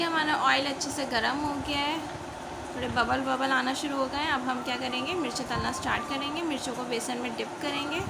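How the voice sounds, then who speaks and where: A woman's voice: high voice, gravelly. A woman hosting a home cooking video, chatty and homely, talking viewers through each step in a home kitchen. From Pakistan. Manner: homely and chatty, keeps saying "see" to viewers. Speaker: home cooking channel host. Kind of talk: step-by-step recipe voice-over. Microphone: phone or camera filming a countertop from above. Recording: noisy home phone recording.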